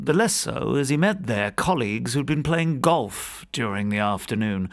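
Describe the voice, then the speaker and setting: A man reads a classic ghost story as an audiobook narrator. His pitch moves in a lively way across a middle register, while the delivery stays measured and literary, like formal storytelling.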